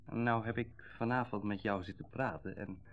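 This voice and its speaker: An actor playing a kindly, frank middle-aged used-car dealer in a radio play, talking in a reflective, conversational way. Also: male voice, on the low side, fairly even intonation, normal speed, highs cut off, lightly acted. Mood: confiding. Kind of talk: Dutch radio play acting, naturalistic dialogue.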